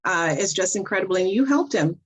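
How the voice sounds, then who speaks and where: A woman's voice: medium pitch, expressive, fairly quick, breathy edge. A woman moderating a panel in an encouraging, affirming manner.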